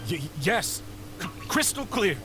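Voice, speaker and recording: An actor playing a nervous, sycophantic bandit underling in a video game, wheedling and stammering. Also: male voice, high voice, big pitch swings, a little slow, music in the background. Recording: game capture with clear background noise.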